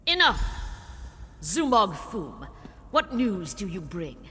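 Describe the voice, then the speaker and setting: A woman's voice: mid-range voice, big pitch swings, slow pace, bright, forceful tone, exaggerated voice. An actress playing a haughty, menacing usurper queen in a fantasy video game, speaking with imperious threat. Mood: commanding. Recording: long echoing hall reverb.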